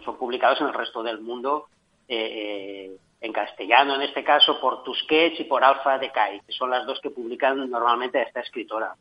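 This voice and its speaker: Man, book reviewer, eager, restarts often, dull radio sound. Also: medium pitch. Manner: enthusiastic, frequent restarts. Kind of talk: casual radio book chat.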